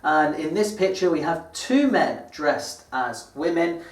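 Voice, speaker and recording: A British man presenting an educational lesson in a deliberate, explanatory manner, like a teacher addressing language learners. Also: a little high, lively intonation. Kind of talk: scripted educational presentation to camera. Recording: echoey room, distant microphone.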